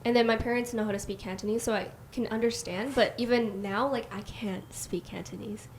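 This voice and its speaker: A young woman hosting a casual chat, soft-spoken and asking her guests questions; she grew up speaking Mandarin at home. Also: on the high side, steady tone, fairly quick, a little hiss or hum, low-quality recording. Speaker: host.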